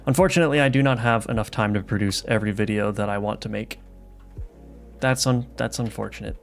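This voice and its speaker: A man's voice: lowish voice, fast, vocal fry. Man thinking aloud while live coding. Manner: thinks aloud, casual, many fillers and restarts. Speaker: game developer streaming live. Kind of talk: live coding stream, reading chat. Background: soft lofi music.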